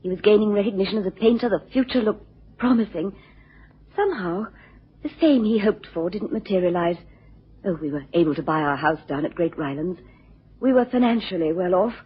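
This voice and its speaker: Breathy, husky, frightened genteel wife. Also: female voice, mid-range voice, brisk. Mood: troubled, wistful. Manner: hesitant, pleading, genteel. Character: distressed, frightened, genteel wife seeking help. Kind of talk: radio drama acting. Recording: muffled lo-fi radio recording.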